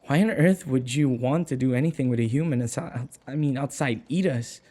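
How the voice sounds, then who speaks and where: A man's voice: mid-range voice, brisk, warm tone, close to an everyday voice. A man playing an anxious human servant held captive by a vampire mistress in an audio roleplay, hesitant and stammering. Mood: flustered, anxious. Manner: hesitant, stammering, anxious, with sighs and muttered asides. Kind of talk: audio roleplay acting, spoken to the listener.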